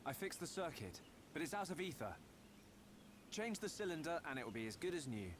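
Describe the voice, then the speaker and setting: A man's voice: fairly high pitch, sing-song, fairly quick, background noise. An actor playing an earnest, inquisitive young male adventurer in a video game cutscene, with game music playing behind. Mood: friendly. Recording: game audio under loud music. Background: loud game music.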